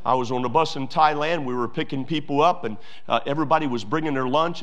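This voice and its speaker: A pastor preaching a sermon to his church, emphatic, with repeated phrases and many fillers. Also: mid-range voice.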